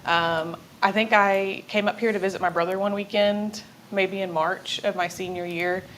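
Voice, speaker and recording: A woman's voice: mid-range voice, steady tone, moderate pace, a bit bright, a little hiss or hum, poor audio. A middle-aged woman from Houston, chatting as a guest on a morning talk show, friendly and full of ums. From Houston, Texas. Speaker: guest, nonprofit executive director. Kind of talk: casual morning show interview.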